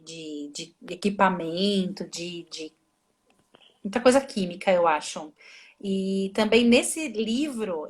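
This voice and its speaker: A woman translator, a guest on a live video stream, talking casually and thinking aloud with many restarts. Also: mid-range voice. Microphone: phone or laptop mic on a video call.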